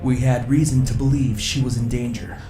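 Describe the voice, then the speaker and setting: A man's voice: medium pitch, flat delivery, recorded from a distance, natural voice. Man playing a formal security officer, roomy echo. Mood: firm. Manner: formal, measured, resolute. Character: earnest, dutiful alien security officer, grown man. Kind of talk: audio drama acting. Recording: roomy echo with music underneath.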